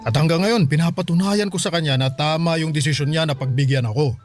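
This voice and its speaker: Actor playing a higher-pitched, caring Filipino father. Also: fast talker, faint music behind, lightly acted. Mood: fond, reminiscing. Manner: gentle, questioning, hesitant. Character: caring, bewildered middle-aged father of a young daughter. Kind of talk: Tagalog radio drama acting.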